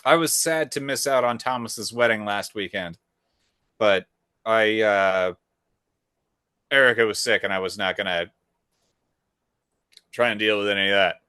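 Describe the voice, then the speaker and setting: A man's voice: fairly low pitch, unhurried, pauses often, bright, forceful tone, clean tone, dry recording, clean studio sound. A man co-hosting a casual podcast, blunt and teasing, swearing casually. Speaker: podcast co-host. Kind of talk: casual chat.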